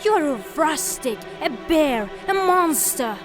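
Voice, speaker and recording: A woman's voice: high-pitched, expressive, unhurried, music in the background. An actress playing a proud, fiery widowed lady in a theatrical audio drama, haughty and declamatory. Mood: scornful, furious.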